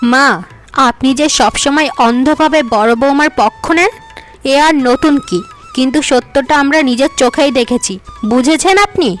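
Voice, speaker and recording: A woman's voice: high-pitched, moderate pace, soft background music, lightly acted. A woman voicing a scheming, jealous young wife in a cartoon who accuses her older sister sharply. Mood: sneering.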